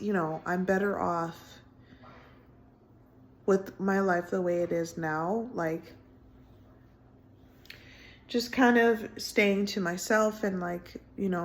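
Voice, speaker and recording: A woman in her thirties talking in a casual personal vlog from home, soft-spoken and rambling with many fillers. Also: medium pitch. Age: 30s. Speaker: vlogger. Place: indoors at home, window closed.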